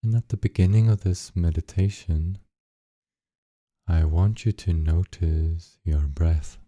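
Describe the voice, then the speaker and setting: Very deep, slow male meditation guide. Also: no room sound. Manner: gentle, unhurried instructions with long pauses.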